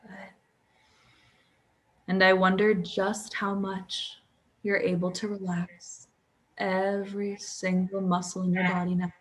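A woman guiding a sleep hypnosis session as a hypnotherapist, calm, with drawn-out pauses and audible breaths. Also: mid-range voice. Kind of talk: guided sleep hypnosis, soothing relaxation script.

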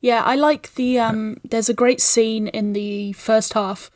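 A woman guest on a podcast, casually analysing film in a thoughtful, halting way as she feels for her words. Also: a little high, fairly even intonation, darkish tone, no echo.

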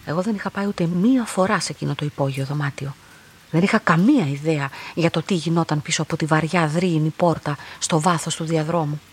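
An actress playing a lonely, watchful young female lab clerk who narrates a radio drama, soft and husky. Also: deep voice. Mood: watchful, introspective. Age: young adult.

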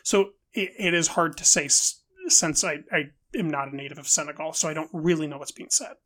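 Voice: mid-range voice, a little fast, fairly bright tone. A film podcast co-host in an analytical discussion, building wordy arguments with hesitant, repeated words.

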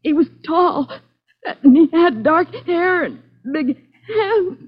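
An actress playing a terrified young housewife who was attacked, crying and halting in a 1940s radio drama. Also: female voice, very high voice, lively intonation, full character voice. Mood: distraught. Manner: halting, distraught. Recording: lo-fi old radio broadcast.

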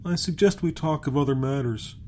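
A huge, mournful Martian pig-beast with refined manners, voiced by a man reading a story. Its voice is lifted and softly rounded, polite and plaintive, over faint noise. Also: on the high side, brisk, pitched above the performer's own voice, lightly acted. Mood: polite, measured.

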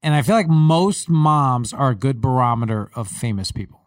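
A man hosting a casual sports podcast, with a faint Long Island accent and a relaxed way of talking that repeats words. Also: medium pitch, moderate pace, no echo, studio quality. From Long Island, New York. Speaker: podcast host. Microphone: studio mic.

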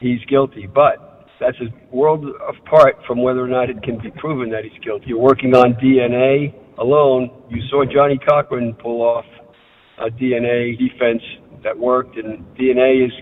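A male attorney comments in an interview. The sound is narrow and phone-like with a long reverb, and his pitch is average. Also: fairly even intonation, normal speed. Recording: tinny phone-like line with reverb.

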